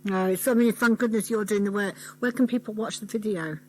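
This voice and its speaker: A woman's voice: mid-range voice, steady tone, brisk, darkish tone, poor audio. A woman hosting a conversational radio interview.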